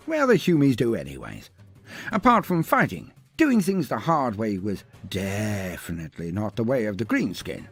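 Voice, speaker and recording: Theatrical male storyteller, husky and animated. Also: medium pitch. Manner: theatrical, wordy, mock-grandiose. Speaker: narrator of a lore story video. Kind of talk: scripted humorous storytelling narration.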